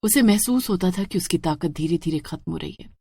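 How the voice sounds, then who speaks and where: A woman's voice: fairly low pitch, lively intonation, a little fast, a little mellow, breathy edge, no echo, studio quality. A woman giving a dramatic scripted narration of a horror story.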